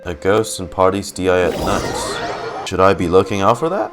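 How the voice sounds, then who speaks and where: Male gaming streamer, very low pitch, low-key, reads aloud, faint music behind. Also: expressive, moderate pace. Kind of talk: game reaction, reading text aloud.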